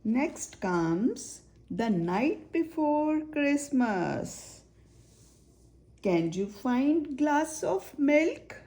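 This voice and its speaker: A woman reads a picture book for children at a very slow pace. Her voice is fairly high with a creaky edge. She names things singly and poses simple questions to the young listener. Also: very animated, dark tone. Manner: names items one by one, asks simple questions. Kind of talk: read-aloud search-and-find picture book for children.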